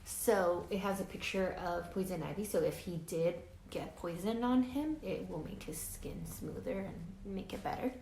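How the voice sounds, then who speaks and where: A woman reading a children's picture book aloud like a teacher, deliberate and emphatic, drawing out words and adding explanatory asides. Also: fairly low pitch, mellow. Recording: echoey room, faint background noise.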